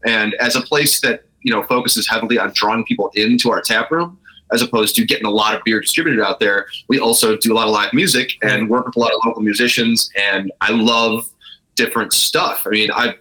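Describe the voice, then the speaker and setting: A man's voice: on the low side, fairly even intonation, fairly quick, bright, forceful tone, rough recording. A man speaking as an interview guest, telling things in an informal, rambling way. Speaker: guest, brewery co-owner. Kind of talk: casual interview storytelling.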